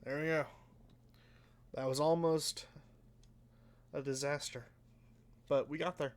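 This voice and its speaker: A man casually commenting while he plays a racing game, rambling and self-deprecating, with a flat, slightly nasal voice that trails off into mumbles. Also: on the high side, takes it slowly. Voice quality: sounds flat and a bit nasal, by his own account. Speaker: let's play host.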